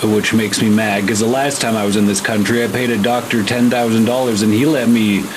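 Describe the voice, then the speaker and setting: An oblivious, eccentric male resort guest acted by a man in a tabletop roleplay game. His lowish voice rambles along deadpan and unbothered. Steady rain-like noise is clear behind him. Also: brisk, lightly acted. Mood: miffed, nonchalant.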